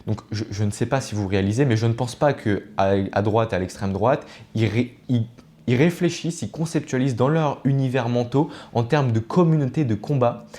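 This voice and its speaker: A man's voice: mid-range voice, brisk, warm tone. A man giving informal explanatory commentary as a video essayist, earnest and didactic, often digressing and correcting himself.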